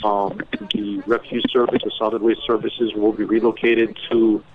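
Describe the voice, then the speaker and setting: A man giving a measured, halting update as an official at a media briefing, with many fillers and restarts. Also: lowish voice, flat delivery. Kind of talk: informal media briefing on a conference call. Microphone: phone. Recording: tinny phone line with faint noise.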